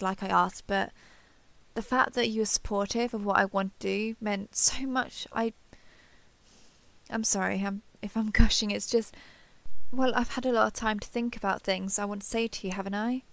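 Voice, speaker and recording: A woman performs a female alien starship captain in a sci-fi audio roleplay. Her voice sits at a medium pitch with plenty of fry, recorded very dry. She is earnest and sentimental, speaking as if to someone beside her. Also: fairly even intonation, moderate pace, fairly bright tone, dry recording. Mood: fond, flustered. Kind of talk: roleplay audio drama, one-sided dialogue.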